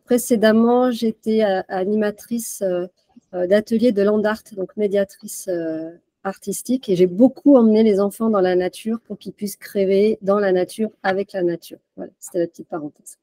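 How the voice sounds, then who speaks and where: A woman speaks casually about herself to an online group. Her voice is medium in pitch, soft and mellow, recorded dry. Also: moderate pace, dry recording. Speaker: therapist and trainer introducing herself.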